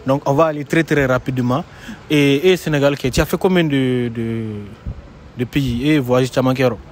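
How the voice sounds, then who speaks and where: A young man hosting a casual street interview outdoors, bantering in an animated way into a handheld phone. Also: mid-range voice, moderate pace. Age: young adult. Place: open paved outdoor plaza. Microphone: handheld phone shared with guest. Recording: clearly noisy outdoor phone recording.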